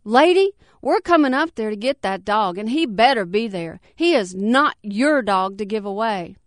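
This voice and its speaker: A woman's voice: mid-range voice, expressive, no echo, clean studio sound, natural voice. A woman voicing a stern, pushy animal control officer barking questions down a phone line. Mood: shouting. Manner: stern, pushy, rapid-fire.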